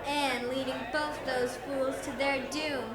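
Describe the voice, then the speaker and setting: Girl playing a high-voiced gossip, echoey room. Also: flat delivery, unhurried. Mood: knowing, scornful. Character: knowing, cynical village gossip. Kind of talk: school radio play acting. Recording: echoey room with crowd chatter.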